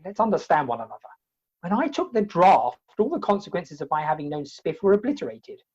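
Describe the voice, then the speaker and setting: A woman's voice: on the low side, sing-song, brisk, darkish tone, slightly rough. A woman playing an indignant, exasperated comic hero in a Victorian play, wordy and emphatic, in lo-fi video-call audio.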